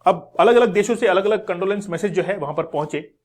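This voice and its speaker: Indian guy with a pretty high voice explaining news like a teacher, repeats key words, drops in english terms. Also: fast talker. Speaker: educational channel presenter. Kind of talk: explanatory news lesson to viewers.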